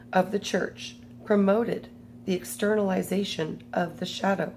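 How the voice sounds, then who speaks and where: A woman with a cold and a strained voice, reading a spiritual book aloud and adding her own comments in a slow, halting way. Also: mid-range voice, creaky, a little mellow, slight background noise.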